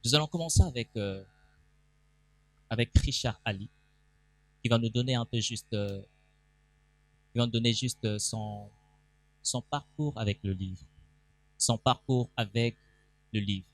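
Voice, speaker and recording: Male pastor-moderator, enthusiastic emcee, mid pitch, dry mic. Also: measured pace, pauses often, dry recording. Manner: enthusiastic, announces guests, calls for applause. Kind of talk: event hosting. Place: event hall stage.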